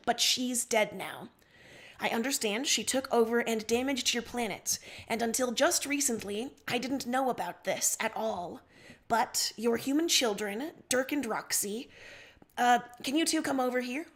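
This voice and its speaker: Woman as young alien emperor, higher voice, blunt, halting, earnest, storybook character. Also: natural voice. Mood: nervous, formal.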